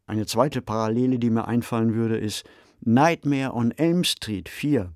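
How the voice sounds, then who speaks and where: A man's voice: medium pitch, unhurried, darkish tone, no echo, studio quality. A man, co-hosting a podcast in a reflective, articulate conversational style. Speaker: podcast co-host. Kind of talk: conversational discussion of radio drama.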